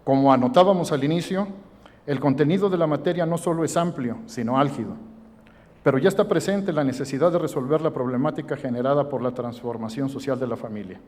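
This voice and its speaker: A man's voice: mid-range voice, darkish tone. Man reading a formal speech in a hall. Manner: measured, formal. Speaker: event presenter. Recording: reverberant hall.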